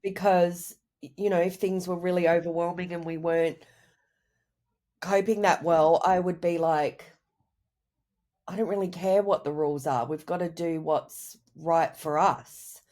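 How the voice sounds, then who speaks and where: A woman with a cold, sounding croaky, answering interview questions over a video call in a reflective, rambling way. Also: fairly low pitch, steady tone, a little slow, dark tone. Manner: reflective, rambling with many "you know" and "I guess". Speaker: guest, writer and mother. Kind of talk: candid conversational interview answers.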